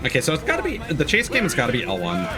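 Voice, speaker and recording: A man's voice: fairly high pitch, wildly swooping pitch, rapid-fire. A man giving casual, playful let's play commentary as a game streamer. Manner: playful, jokey. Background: game music.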